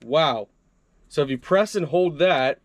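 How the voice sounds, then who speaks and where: A man's voice: a little high, expressive, a little slow, heavy background noise. A man doing a casual, upbeat hands-on product review, chatting to his viewers as he tries things out.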